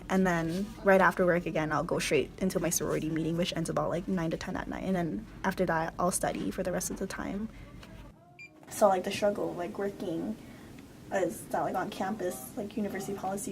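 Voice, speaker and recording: A woman's voice: fairly low pitch, steady tone, fairly quick, vocal fry, poor audio. A young adult woman, a college student, describing her routine in a matter-of-fact way with "like" fillers, recorded in a car. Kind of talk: casual interview answers. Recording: vehicle noise behind.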